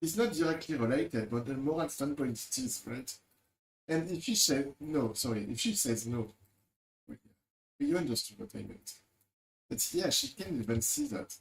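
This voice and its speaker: Gravelly, roomy-sounding streamer man. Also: medium pitch, sing-song, moderate pace. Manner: blunt, exasperated, swears freely. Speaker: streamer reacting to a debate clip. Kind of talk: live stream commentary. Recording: roomy echo.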